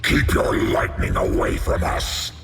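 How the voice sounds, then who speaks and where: A man voicing a menacing alien symbiote monster for a video game, in a deep gravelly growl with layered monster processing. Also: unhurried, heavy background noise. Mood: threatening. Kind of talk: video game character acting. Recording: long reverb, music behind.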